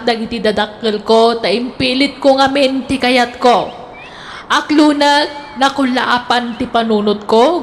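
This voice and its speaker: High-voiced Ilocano woman narrating slowly. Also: a little hiss or hum. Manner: slow, measured reading. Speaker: radio drama host and narrator. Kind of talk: scripted narration, reading a listener's letter.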